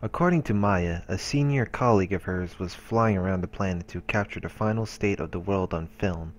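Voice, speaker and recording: A man's voice: sits low, a little fast. A man reading first-person story narration aloud as the host of a visual novel playthrough.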